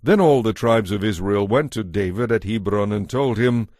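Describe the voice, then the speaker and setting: A man narrating scripture from a script in a measured, solemn manner. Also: on the low side, no echo, clean studio sound. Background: soft music.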